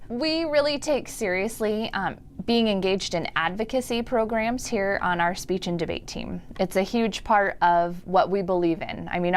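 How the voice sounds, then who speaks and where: An earnest young woman with a slightly low voice answers interview questions. She explains things steadily and plainly. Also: clean tone. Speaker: speech and debate team member.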